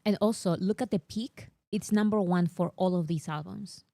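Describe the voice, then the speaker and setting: Excitable young woman with a strong accent. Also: fairly low pitch, vocal fry, dry recording. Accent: non-native English accent. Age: young adult. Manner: excitable, whiny, talks fast about fandom news. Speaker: host. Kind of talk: casual chat.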